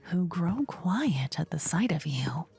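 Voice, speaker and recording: A woman narrating a scripted fantasy ASMR story in a soft, whispery voice. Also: lowish voice, sing-song, slow pace, creaky, faint music behind.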